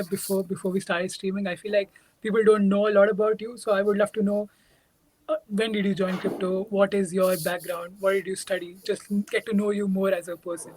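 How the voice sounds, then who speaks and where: A man's voice: sits high, darkish tone, a little hiss or hum, poor audio. A man hosting a casual interview, peppering his questions with "like". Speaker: podcast host, interviewer.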